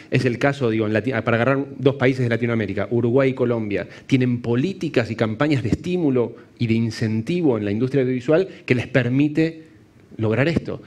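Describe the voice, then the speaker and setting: Argentine guy on a tv panel, middle-aged, tells stories with his hands, normal pitch. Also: a little mellow. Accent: Argentine Spanish. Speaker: panel guest, TV producer. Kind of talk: panel discussion. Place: TV studio set.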